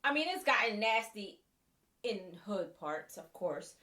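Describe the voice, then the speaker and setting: A woman's voice: medium pitch, sing-song, measured pace, sharp tone. A woman in a casual chat, talking in an easygoing way with many fillers as she thinks aloud. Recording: echoey, from off-mic.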